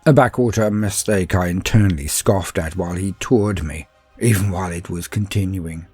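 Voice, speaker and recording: Man voicing a husky, menacing zealot villain. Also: low-pitched, darkish tone. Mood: smug, contemptuous. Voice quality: gravelly, breathy. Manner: slow, menacing, savouring pauses. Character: scheming, fanatical dark-crusade zealot villain, smugly contemptuous. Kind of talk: dramatic first-person villain monologue in audio story. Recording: music bed under monologue.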